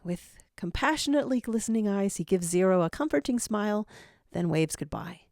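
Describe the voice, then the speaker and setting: A woman's voice: medium pitch, animated, a little fast, a little mellow, no room sound, clean studio sound. A woman narrating scripted fan fiction in a dramatic storytelling style.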